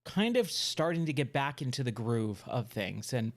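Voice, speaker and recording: A man hosting his own game stream in casual chat, his voice a little hoarse from the work week, upbeat and thinking aloud as the games load. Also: mid-range voice, big pitch swings, normal speed. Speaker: streamer and channel host.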